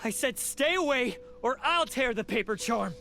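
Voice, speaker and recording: A proud young oni warrior from a video game, intense and defiant. His voice is bright and tense, pitched high for a man with lively swings. Faint music plays behind him. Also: moderate pace, creaky, exaggerated voice. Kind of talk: game cutscene voice acting.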